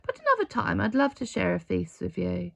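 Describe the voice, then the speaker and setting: Storybook role, played by a female reader: elderly woman, sorrowful Holocaust survivor, heartfelt and halting, expressive swings in pitch. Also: vocal fry, full character voice. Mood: gracious.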